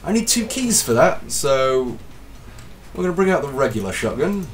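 A man with a slight cold, casually commentating his gameplay as a let's play host, excitable and self-deprecating. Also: mid-range voice, moderate pace, distant mic, slight background noise.

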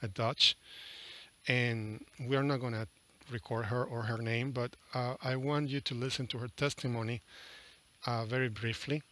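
A middle-aged man conducting an informal interview in an earnest, halting way. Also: medium pitch, steady tone, pushed and bright. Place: outdoors under a large canopy. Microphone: headset.

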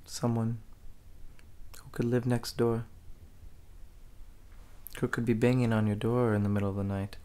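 A young man performing an ASMR role play as an arrogant, menacing gang leader, speaking in a slow, deliberate, hushed way. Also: mid-range voice, flat delivery, clear, resonant tone. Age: young adult. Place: small dim room indoors.

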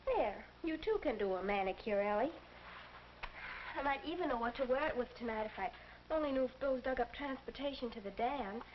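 A woman's voice: a little high, expressive, a little fast, lightly acted. A young woman playing a chatty, sociable teenage girl in a breezy 1940s instructional film style. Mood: wry, complaining. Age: young adult. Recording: muffled, noisy 1940s film soundtrack.

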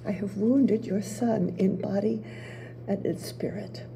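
An older woman reading the part of Psyche, an innocent young mortal woman, in a stage reading of her play, her lines halting and eager with stumbling restarts. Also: medium pitch, fairly even intonation, vocal fry, dark tone, a little hiss or hum. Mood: humble pleading. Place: small theater stage with audience. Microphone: table mic.

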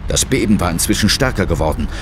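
A man playing a serious, brooding ghost hunter who narrates a horror audio drama gravely and dramatically. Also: sits low, lively intonation, fast, background noise. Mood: tense, grave. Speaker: first-person narrator and hero.

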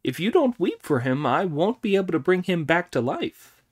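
A man voicing a brash, cheeky monkey king who talks high and loud in an audiobook. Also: big pitch swings, moderate pace, husky, heavily acted. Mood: bossy. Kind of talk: audiobook character voice.

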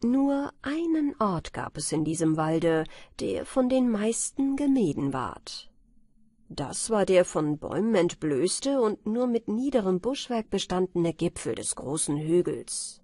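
A woman reading bedtime fairy tales as an audiobook narrator, in a measured, carefully articulated storytelling manner. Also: lowish voice, sing-song, mellow, dry recording, clean studio sound.

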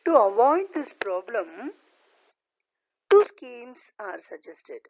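A woman lecturer reading a scripted slide lesson aloud in a deliberate, halting way, in short phrases. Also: a little high, very animated, darkish tone, no room sound. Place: voice-over recorded over slides. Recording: dull, lo-fi voice recording.

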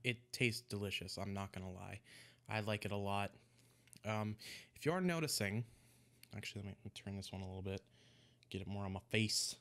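A young man casually walking through a recipe in a home vlog, sounding a little congested, relaxed and rambling, often restarting his words. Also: fairly low pitch, brisk, long pauses. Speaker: e-liquid mixing vlogger. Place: small room at home, indoors. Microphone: desk microphone in front of him.

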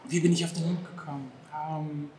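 A man in his thirties, originally from Afghanistan, talking in a lively, animated way as an interview guest, acting out little scenes. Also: a little high, a little fast, a little hiss or hum. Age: 30s. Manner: lively, animated, acts out dog owners' exclamations. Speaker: dog behavior therapist, guest. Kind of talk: casual interview talk. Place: large empty restaurant hall, indoors. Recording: echoey hall.

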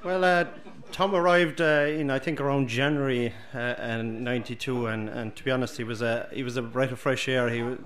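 A middle-aged Irish man, a former midfielder, speaking hesitantly with many pauses in a pub. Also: mid-range voice, steady tone, a bit bright. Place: busy pub, indoors.

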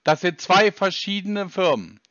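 A man with a notably high voice talks on a phone call. He is insistent and pedantic, often complaining, in a dry, slightly lo-fi recording. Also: unhurried, sharp tone, no echo. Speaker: scambaiter on a prank call. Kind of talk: phone conversation. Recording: dry, slightly dull lo-fi sound.

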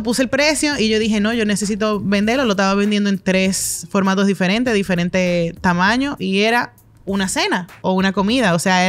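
Lively Dominican woman telling stories. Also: mid-range voice. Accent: Dominican Spanish. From Dominican Republic. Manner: animated, gestures a lot, quick asides. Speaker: chef, interview guest. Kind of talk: casual interview storytelling. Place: podcast studio with brick walls and plants. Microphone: studio mic on a boom arm.

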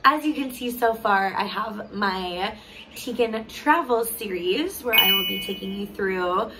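A woman in her thirties, from California, chatting to camera on a balcony for her travel vlog, her voice a little hoarse, laughing often. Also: mid-range voice, a little slow, slight background noise, rough recording. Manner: bubbly, laughs often, lively hand gestures. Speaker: travel vlogger. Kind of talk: casual chat to camera. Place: sunny balcony outdoors.

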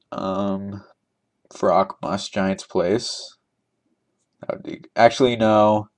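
A young man with a lowish voice talks over his screen as he hosts a trivia giveaway. He rambles and thinks aloud, with easy laughs between thoughts. The sound is close and plain, from a desk microphone at his computer. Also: a little mellow. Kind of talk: casual gameplay commentary.

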